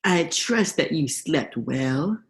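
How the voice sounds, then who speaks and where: A woman's voice: deep voice, lively intonation, slow, full character voice. A young woman in a student radio play, playing a laid-back, vengeful male eel with a casual, slangy drawl. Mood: sardonic, menacing. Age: young adult.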